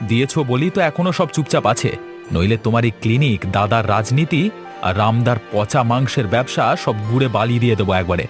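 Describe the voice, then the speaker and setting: Guy doing greedy cartoon little brother, mid-pitched, taunting and demanding, village troublemaker. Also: a little fast, music in the background, close to an everyday voice. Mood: taunting, threatening. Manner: taunting, pushy, threatening.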